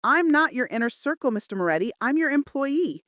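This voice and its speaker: A woman's voice: high-pitched, sing-song, a little fast, a bit bright, no room sound, pitched above the performer's own voice. A woman playing a defiant, loyal female assistant in emphatic, outspoken romance audiobook dialogue. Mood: indignant.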